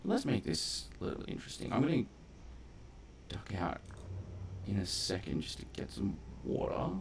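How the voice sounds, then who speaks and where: A man's voice: fairly low pitch, sing-song, normal speed, gravelly. A man doing casual, rambling live gameplay commentary as a streamer, conversational and loose with swearing. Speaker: game streamer. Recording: faint background noise.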